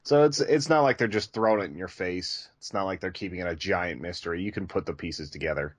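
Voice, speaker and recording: A man's voice: deep voice, fast talker, breathy edge, no room sound, clean studio sound. A man co-hosting a podcast, talking casually about films. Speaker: podcast co-host reviewing films. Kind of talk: casual film discussion.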